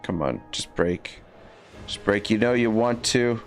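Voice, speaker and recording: A man's voice: lowish voice, a little slow, faint music behind. A man with a scratchy voice giving rambling, casual commentary while livestreaming a strategy game, with many fillers and restarts. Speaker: livestream gamer.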